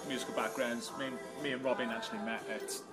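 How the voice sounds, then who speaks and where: A man with a rough voice chatting casually in an interview as the singer of a rock band. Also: mid-range voice, fairly even intonation, quick pace. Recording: loud music behind the voice. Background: loud music.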